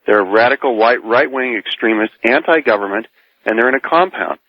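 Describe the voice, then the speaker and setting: A man's voice: medium pitch, lively intonation, brisk, no echo. An American man calling in to a radio debate by telephone, argumentative and probing with pointed questions. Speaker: caller debating on a radio show. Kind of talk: live phone-in debate. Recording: muffled phone call over old radio.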